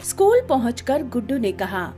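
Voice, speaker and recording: A woman narrating a scripted bedtime story in an expressive storytelling manner. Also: on the high side, normal speed, music in the background.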